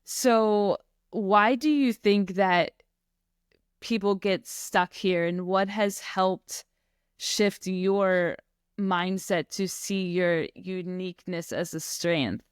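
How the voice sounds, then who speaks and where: A woman's voice: mid-range voice, fairly even intonation, slow, clean tone, dry recording, clean studio sound. A woman hosting a podcast, asking reflective, encouraging questions. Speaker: podcast host. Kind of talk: interview questions.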